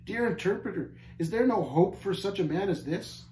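A man voicing an earnest, tender-hearted pilgrim in a storybook tale, gentle and pleading. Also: high voice, animated, moderate pace, voice pushed up. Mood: gentle concern. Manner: gentle, pleading, old-fashioned storybook phrasing. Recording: roomy echo, faint background noise.

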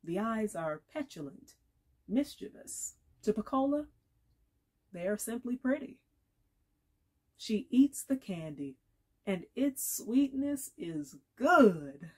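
Husky woman reading literary fiction slowly. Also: medium pitch, darkish tone. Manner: deliberate, measured phrasing with pauses. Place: small indoor room against a plain wall.

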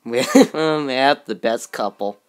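A woman giving casual, spontaneous reactions to a video in short, excitable exclamations, recorded in a room at home. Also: extremely low pitch, slow pace, clean tone, soft background music. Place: indoor room at home, on a bed.

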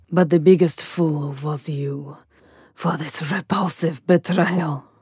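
Give this a possessive, imperious vampire countess, acted by a woman, in a theatrical ASMR roleplay. Her voice sits slightly low and is very husky and breathy. She takes her time, drawing out phrases with seductive aristocratic grandeur. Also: dry recording, studio quality, full character voice. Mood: hurt, bitter. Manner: theatrical, deliberate, drawn-out phrasing with breathy whispers.

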